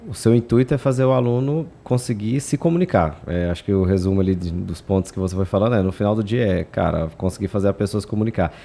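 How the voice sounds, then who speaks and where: Brief: Brazilian co-host, relaxed and casual, low warm voice. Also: male voice, fast talker, creaky, hardly any pauses. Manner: relaxed, filler-heavy chat. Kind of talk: casual conversation.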